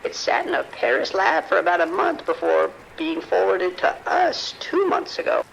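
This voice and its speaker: A man's voice: high voice, very animated, normal speed, vocal fry, fairly bright tone, a little hiss or hum, heavily acted, poor audio. A man playing a worried, apologetic scientist in an audio drama, speaking carefully and formally with hesitations. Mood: hesitant, formal. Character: apologetic, worried research scientist reporting trouble, male. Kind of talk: audio drama phone dialogue.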